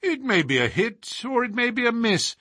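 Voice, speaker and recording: Guy doing a gentleman detective, polite but probing, husky, close dry sound. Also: very high voice, big pitch swings, no room sound, voice pushed up, exaggerated voice. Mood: brisk. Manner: measured, persuasive, courteous.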